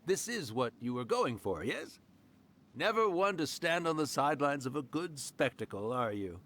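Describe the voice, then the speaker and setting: A man playing a mischievous, boastful fox-spirit yokai in a video game, with a theatrical, teasing, drawn-out delivery. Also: fairly high pitch, very animated, fairly bright tone. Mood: playful teasing. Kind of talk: video game character acting.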